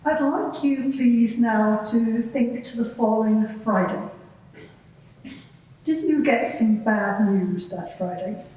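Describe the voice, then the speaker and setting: A courteous female advocate, acted by a woman, questions a witness in measured, formal phrases. Her voice sits a little high and comes from a distance in a very echoey courtroom. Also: dark tone. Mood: probing. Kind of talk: dramatised courtroom examination. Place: large courtroom.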